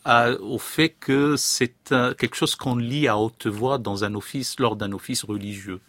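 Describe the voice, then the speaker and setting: A man of middle pitch hosts the conversation, chiming in with clarifications and steering the talk with follow-up questions. The sound is close and dry, with no room echo. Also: fairly even intonation, a little slow, fairly bright tone. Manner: engaged, adds glosses and follow-up questions. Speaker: host. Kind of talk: interviewer.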